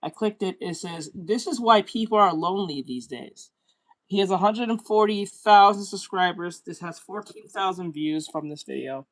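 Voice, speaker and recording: A reaction channel host talking in an offhand way, swearing and often trailing off quietly. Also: medium pitch, lively intonation, moderate pace, poor audio. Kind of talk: casual reaction commentary.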